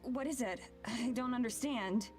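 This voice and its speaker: An actress playing a gentle, hesitant, curious young woman in a visual novel. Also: on the high side, measured pace, soft background music, lightly acted. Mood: puzzled.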